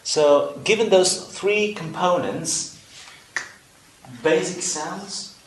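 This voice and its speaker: Slow-paced Vietnamese-accented man teaching, echoey room. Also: high-pitched, slight background noise. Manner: deliberate, explanatory, pausing between phrases. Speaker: English teacher. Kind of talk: instructional video lesson. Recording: roomy, echoing room sound.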